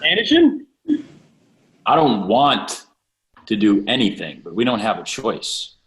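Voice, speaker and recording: A man's voice: fairly low pitch, huge pitch swings, a little slow, a bit bright, reverberant, heavily acted, poor audio. An actor reading a combative, sarcastic young male gang leader in a film script, in a challenging manner over a video call. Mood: grim. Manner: sardonic, challenging. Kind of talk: film script table read.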